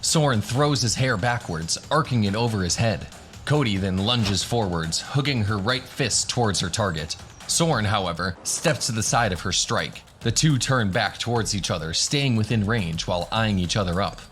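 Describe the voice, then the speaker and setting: Low-voiced man narrating fantasy. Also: lively intonation, moderate pace, a bit bright, faint music behind. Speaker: narrator, game master.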